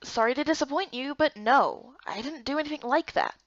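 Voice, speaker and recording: A woman voicing an earnest, stubborn young heroine in a fantasy visual novel, with expressive, emphatic delivery. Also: high voice, a little fast, no echo, lo-fi sound, lightly acted. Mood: defensive. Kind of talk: visual novel game character dub.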